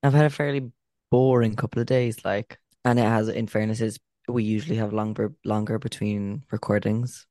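A man's voice: on the low side, steady tone, normal speed, warm tone, no echo, studio quality. A man co-hosting a podcast in a laid-back, wry way, laughing often. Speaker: podcast co-host. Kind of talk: casual chat. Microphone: podcast microphone.

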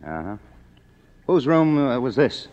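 A man's voice: mid-range voice, very animated, unhurried, a little mellow. An actor playing a world-weary, cynical man, wry and hardboiled, in a 1950s radio drama. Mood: irritated, protesting. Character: cynical, world-weary kept man narrating his story. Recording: muffled old radio recording, music behind.

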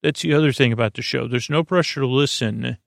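A man hosting a sleep podcast, talking gently in a meandering, halting, goofy monologue with a creaky voice. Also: medium pitch, no room sound, clean studio sound. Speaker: podcast host.

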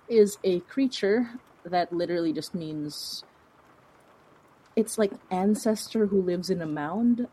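Female interview guest, lower register with a husky edge, casual storyteller who interrupts herself as she goes. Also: rough recording. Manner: animated, chatty, self-interrupting. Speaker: podcast guest, fiction podcast creator.

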